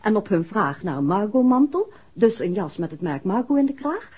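An actress playing a composed, caring detective's wife in a 1960s radio play, with a husky voice. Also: female voice, mid-range voice, very animated, normal speed, a little mellow. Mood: explaining. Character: composed, caring detective's wife recounting events to her husband. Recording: dull, muffled 1960s radio recording.

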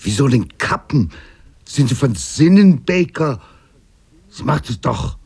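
Husky, stern old sea captain. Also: male voice, mid-range voice, big pitch swings, slow, a little mellow, heavily acted. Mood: defiant. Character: weary, stern, stubborn older sea captain. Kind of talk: German radio drama acting with narrated passages.

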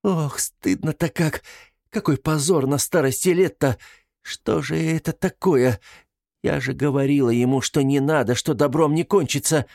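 A male audiobook narrator plays an older woman, deeply ashamed and flustered. The voice sits clearly higher, tearful and breaking, with halting, pleading phrases. The sound is dry and close. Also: warm tone, no echo, close to an everyday voice. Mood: ashamed, distressed.

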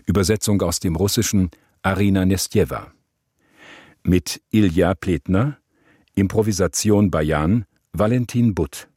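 Male credits announcer for radio drama: very slow delivery, dark and mellow, scripted. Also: low-pitched, breathy edge, no room sound, studio quality.

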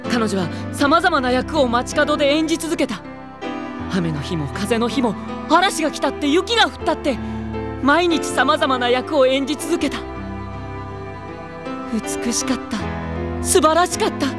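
A woman playing an earnest teenage schoolboy in an anime audio drama, theatrical, moving between hushed reflection and fervent awe. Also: high-pitched, lightly acted. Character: earnest, excitable teenage schoolboy, devoted admirer of an idol.

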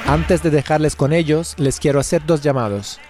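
A man's voice: on the high side, moderate pace. A man hosting a radio programme, reading scripted introductions and announcements. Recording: music bed behind.

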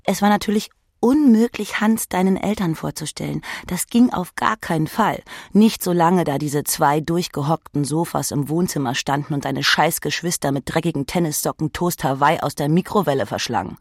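A narrator reads literary prose with a creaky, husky voice. The delivery is dramatic and addressed straight to the listener, in a very dry recording. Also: medium pitch, moderate pace, dry recording. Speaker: audiobook narrator. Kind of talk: literary second-person narration.

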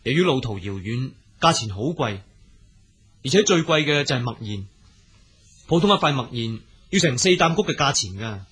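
A man playing a polite, earnest poor young scholar in a Cantonese storytelling drama, speaking courteously with a husky voice. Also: mid-range voice, expressive, normal speed, fairly bright tone. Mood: explaining.

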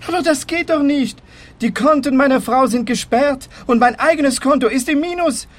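An actor playing an agitated, frightened widower who complains excitably about money in a comic detective radio play. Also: male voice, very high-pitched, moderate pace.

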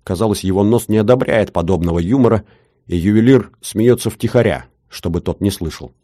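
A man reading a first-person story aloud in a measured, wry storytelling manner. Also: on the low side, mellow, no room sound, clean studio sound. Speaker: audiobook narrator. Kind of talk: first-person audiobook narration.

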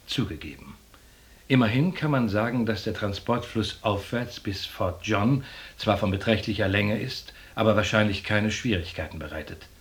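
An actor playing a courteous, cautious educated gentleman, speaking formally and in measured phrases in a German radio drama. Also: on the low side, lightly acted. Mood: measured, factual.

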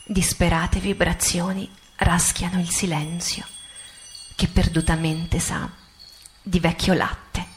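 A woman recites poetry as radio theatre, in a solemn, deliberate way with dramatic pauses. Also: on the low side, flat delivery, slight background noise. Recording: music under the voice. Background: music.

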